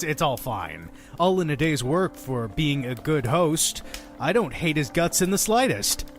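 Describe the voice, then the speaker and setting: Theatrical, sardonic innkeeper storyteller over music. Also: male voice, fairly high pitch, a bit bright. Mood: exasperated, sarcastic. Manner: dramatic, sardonic, deliberate phrasing. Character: fussy, exasperated male innkeeper telling dark horror tales. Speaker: storytelling host of a horror anthology. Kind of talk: theatrical scripted audio-drama narration.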